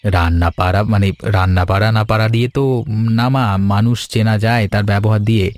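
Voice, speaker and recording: A man's voice: lowish voice, steady tone, normal speed, close to an everyday voice. A man voicing an earnest, reassuring grown son in a cartoon story. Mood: earnest, pleading. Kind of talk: animated story voice acting.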